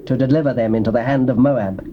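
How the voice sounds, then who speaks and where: A man's voice: mid-range voice, fast, highs cut off, a little hiss or hum, close to an everyday voice. An actor playing an anxious king of Israel who speaks in a lamenting way. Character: worried male king of Israel.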